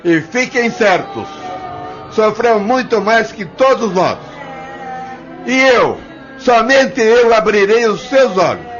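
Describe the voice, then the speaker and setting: A man's voice: sits high, sing-song, slow, fairly bright tone, full character voice. A man playing a vengeful but protective father spirit in an audio drama, speaking in solemn, commanding declamation. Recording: dull lo-fi audio with music behind.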